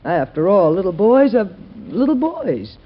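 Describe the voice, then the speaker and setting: An actress playing a gracious, fussing president's wife and mother with a polite, gently wry manner in old radio drama. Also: medium pitch, very animated, a little slow. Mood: doubtful. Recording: muffled old radio recording.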